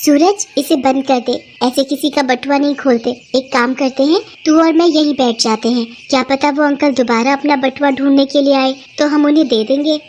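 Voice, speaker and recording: A woman's voice: sits high, steady tone, normal speed, hardly any pauses, a little mellow, a little hiss or hum, close to an everyday voice. A woman voicing a poor, honest and polite orphan girl, an older sister, in a storybook tale. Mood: earnest.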